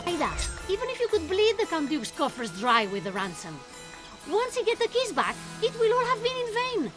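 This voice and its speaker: Spirited, high-voiced young swordswoman. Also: huge pitch swings, brisk, exaggerated voice. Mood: indignant, earnest. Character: bold, witty young female Spanish swordswoman hero, idealistic and teasing. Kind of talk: animated video game acting. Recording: music behind the voice.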